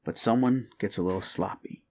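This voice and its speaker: A man playing a rumpled, folksy police detective in a roleplay audio drama, musing and halting as he politely needles a suspect with feigned casualness. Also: fairly low pitch, darkish tone. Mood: knowing, accusing. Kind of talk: roleplay audio drama, interrogation monologue addressed to listener.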